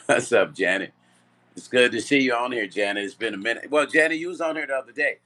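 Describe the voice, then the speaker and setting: A man with a strained, partly lost voice, chatting loosely on a livestream and greeting viewers by name, clearing his throat often. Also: medium pitch, fast, bright, forceful tone. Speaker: music vlogger and producer. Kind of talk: casual livestream chat.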